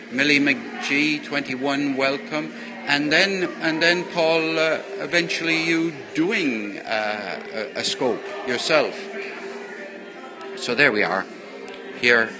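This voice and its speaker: A man's voice: medium pitch, noisy background. A man chatting casually to viewers on a livestream as a poetry host, rambling and full of thanks, speaking into a handheld earbud mic. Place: busy café. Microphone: handheld earbud microphone. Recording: live phone stream in busy café. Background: chatter of other people.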